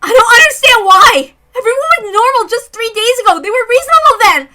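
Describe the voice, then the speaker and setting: A woman's voice: very high voice, expressive, a little fast, soft background music, voice pushed up, heavily acted. A woman voicing a wounded, desperate young girl in a dramatic story, bitter and anguished in her protests. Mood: frantic despair. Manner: anguished, protesting, loud outbursts.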